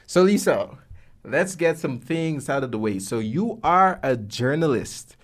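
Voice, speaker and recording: A man's voice: a little high, animated, a little slow. A young man with a Caribbean accent hosting a podcast casually, with jokey slang, close on a studio mic. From Guyana. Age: young adult. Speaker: podcast host. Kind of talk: casual podcast presenting and interviewing. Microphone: boom-arm studio mic, close.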